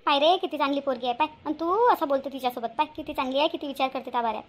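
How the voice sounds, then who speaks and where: A woman's voice: high-pitched, racing, lightly acted. A woman voicing a bossy, homely cartoon mother who nags her grown son in a Vidarbha Marathi accent. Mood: reproachful. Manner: scolding, repetitive, homely. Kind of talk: homemade cartoon voice acting.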